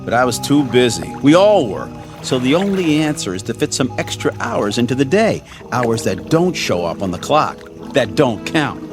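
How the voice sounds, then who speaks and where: A man's voice: mid-range voice, sing-song, normal speed, few pauses, over music, natural voice. Brash, teasing young American crewman played by a man. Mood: wry. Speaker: actor in a radio drama. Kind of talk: radio drama acting.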